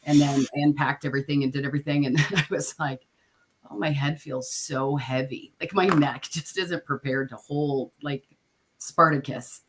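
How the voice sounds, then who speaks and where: A woman co-hosting a podcast, hoarse from a chest cold, with a naturally low voice; she rambles, giggles and trails off with "I don't know". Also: fairly even intonation, moderate pace, noisy background. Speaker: podcast co-host. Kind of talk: casual chat.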